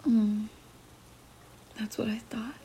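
A dominant, teasing young woman, voiced by a woman, murmurs in a breathy whisper for an ASMR roleplay. Her tone is dark and mellow, with a gravelly fry at the edges. She takes her time, issuing each command slowly. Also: fairly high pitch, little pitch movement, a little hiss or hum.

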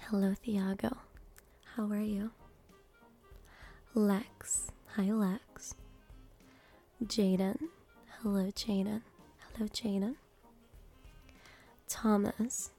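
Very slow, whispering ASMR woman. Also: medium pitch, level tone, faint music behind. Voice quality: breathy whisper mixed with soft speech. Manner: gentle, slow, pausing between short phrases. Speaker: host greeting viewers by name. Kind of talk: ASMR name reading.

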